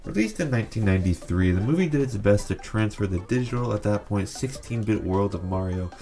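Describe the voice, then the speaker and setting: Low-voiced man in a sarcastic video essay. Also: lively intonation, fairly quick, dark tone. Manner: sarcastic, opinionated. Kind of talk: scripted video essay commentary.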